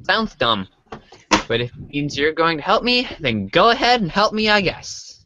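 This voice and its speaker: A naive, easygoing teenage boy acted by a man speaks in a bright, swooping voice. He is hesitant, incredulous and drily sarcastic, reading a radio-play part over faint noise. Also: fairly high pitch, big pitch swings, normal speed. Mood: skeptical. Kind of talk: amateur reader's theater reading. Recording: faint noise behind home recording.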